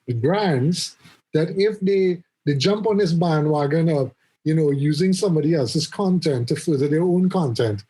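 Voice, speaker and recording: A man in his mid-forties talks through ideas on a podcast, often restarting as he hunts for a word. His voice sits a bit high and sounds husky. He teases his co-host with dry jabs. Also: mellow, poor audio. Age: 40s. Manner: rambling, restarts often, teasing. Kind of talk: casual chat. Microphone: remote call.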